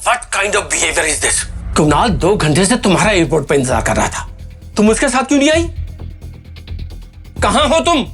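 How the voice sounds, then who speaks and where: A woman's voice: medium pitch, very animated, normal speed, long pauses, soft background music, natural voice. An actress playing a stern, reproachful woman demanding answers in an audio drama. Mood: stern, scolding. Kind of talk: Hindi audio drama acting.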